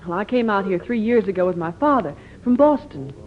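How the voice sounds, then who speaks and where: A woman's voice: medium pitch, expressive, fairly quick, slight background noise, natural voice. A woman playing a lonesome, well-spoken young frontier woman in an old-time radio musical drama. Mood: sad, lonesome. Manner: earnest, well-spoken, formal diction. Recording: thin, phone-like 1950s radio broadcast, faint music.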